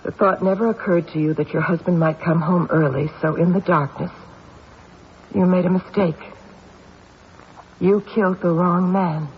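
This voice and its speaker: An actor playing a shrewd, stern police detective, accusing and deliberate, in a radio mystery drama. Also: male voice, high-pitched, fairly even intonation, lightly acted. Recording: dull, noisy old radio broadcast.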